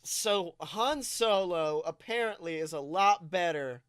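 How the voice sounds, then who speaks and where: A man co-hosting a podcast in casual, comedic chat, telling stories in an animated way and swearing freely. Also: high voice, slow pace, clear, resonant tone, no echo, clean studio sound. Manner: animated storytelling, acts out quotes, swears freely. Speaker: podcast co-host.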